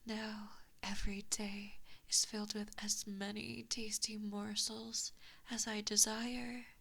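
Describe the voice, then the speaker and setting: A woman voicing an evil, possessive giant fox spirit in a slow ASMR roleplay, whispering softly and breathily with mockingly sweet menace, close to a binaural mic. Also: medium pitch, level tone, vocal fry, exaggerated voice. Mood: teasing, patronizing. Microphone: close binaural microphone.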